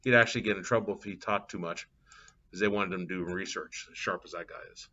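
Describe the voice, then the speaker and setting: A man's voice: sits low, fast talker, bright, forceful tone. Casual chatty male math teacher, dull recording. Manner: casual, chatty, thinking aloud with fillers. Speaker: math instructor. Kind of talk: live class lecture with anecdotes. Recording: dull lo-fi classroom capture.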